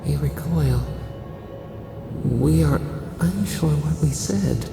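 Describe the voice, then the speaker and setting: A performer voicing an ancient spirit in a video game, speaking cryptically and solemnly in halting fragments as a ghostly echoing voice in the head. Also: medium pitch. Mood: cryptic, brooding. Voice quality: creaky, gravelly. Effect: long ghostly reverb. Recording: heavy reverb under music. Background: music.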